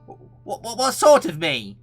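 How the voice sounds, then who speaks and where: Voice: mid-range voice, big pitch swings, takes it slowly, fairly bright tone, soft background music, voice pushed up. A performer voicing a naive, good-natured little bear in a storybook, bumbling and exclaiming as it talks. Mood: surprised.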